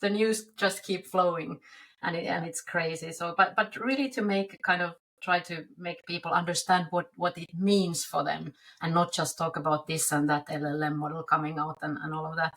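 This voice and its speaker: A woman speaking, her voice sitting a little low. She talks as a podcast guest over a video call. Her delivery is relaxed and chatty, looping back with restarts and fillers. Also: moderate pace. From Finland. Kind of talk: casual interview talk.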